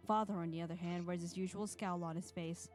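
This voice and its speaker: A woman's voice: on the low side, steady tone, brisk, music in the background. A woman streamer reading a visual novel aloud, with playful comments and frequent laughs. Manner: playful, laughs between narrated lines.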